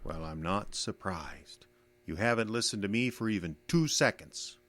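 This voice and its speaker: A man acting a suspicious, embittered old soldier and father for a radio play. His voice is low, and his talk is gruff, folksy and stubbornly combative. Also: moderate pace, a bit bright, dry recording, close to an everyday voice. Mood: bitter, defensive. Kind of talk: audio drama acting.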